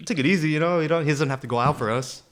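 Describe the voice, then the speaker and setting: A man's voice: fairly high pitch, rapid-fire. A young man co-hosting a podcast, chatting casually and slangily with friends and often repeating himself as he agrees. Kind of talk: casual chat between friends.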